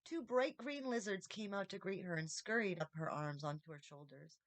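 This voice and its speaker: A woman reading a children's story aloud as an expressive audiobook narrator. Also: medium pitch, normal speed, no room sound. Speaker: audiobook reader, channel host.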